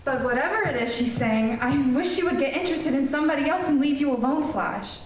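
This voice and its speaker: A woman's voice: sits high, fairly quick, a little hiss or hum, close to an everyday voice. A woman playing an anxious, devoted young heroine in old-time radio serial style, earnest in manner. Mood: jealous. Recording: distant, very echoey hall with music.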